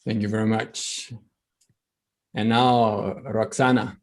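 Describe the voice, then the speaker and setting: A man facilitating an online workshop, briskly calling on each participant in turn. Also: lowish voice, flat delivery.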